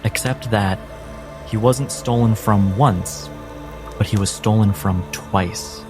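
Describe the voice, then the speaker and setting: Male game master, unhurried and suspenseful, weighty pauses, dramatic storytelling over prominent music. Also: lowish voice. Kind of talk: dramatic second-person narration of a tabletop game. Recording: music behind the voice.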